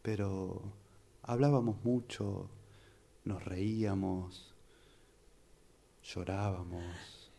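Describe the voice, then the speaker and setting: A man improvising a radio drama part as a grieving, secretive man, talking in short, halting, pensive phrases. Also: sits low, fairly even intonation, vocal fry, mellow, full character voice. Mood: wistful. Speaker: radio drama workshop participant.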